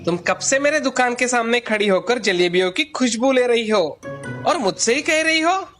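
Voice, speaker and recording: A woman plays a greedy sweet-shop owner in a cartoon tale, a bullying man who talks gruffly and menacingly. The voice is pushed low and swings widely in pitch. Faint music runs underneath. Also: expressive, moderate pace, fairly bright tone. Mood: threatening. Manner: gruff, threatening. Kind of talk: cartoon storybook voice acting.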